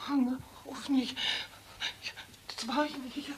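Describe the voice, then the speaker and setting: A woman's voice: high voice, fairly even intonation, measured pace. An actress playing a timid, frightened woman in a crime radio play, hesitant and pleading. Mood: nervous, hesitant. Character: timid, frightened woman cowed by a bullying man. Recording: radio play with faint noise.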